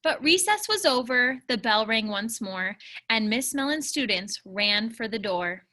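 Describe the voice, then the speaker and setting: A woman reading a rhyming children's storybook aloud in a measured, expressive way. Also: fairly high pitch. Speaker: story reader.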